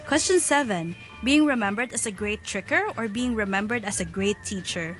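A woman co-hosting a casual podcast interview, with a voice in the middle range. She asks questions and fills the pauses with small acknowledging sounds as the guest talks. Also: mid-range voice, expressive, a bit bright, faint music behind, low-quality recording. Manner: friendly questioning, many backchannel sounds. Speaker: podcast co-host.

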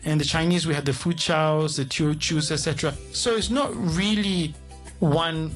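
A man with a Malaysian accent speaks as an interview guest, his voice pitched a little high for a man. He explains patiently and reels off lists of names, stopping often on "uh". Faint music plays quietly underneath. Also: moderate pace. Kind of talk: conversational interview.

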